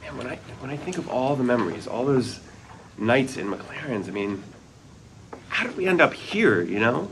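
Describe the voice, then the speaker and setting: A man's voice: medium pitch, sing-song, normal speed, a bit bright, a little hiss or hum, low-quality recording. An actor playing an earnest, nostalgic architect and father who stammers eagerly at a sitcom table read.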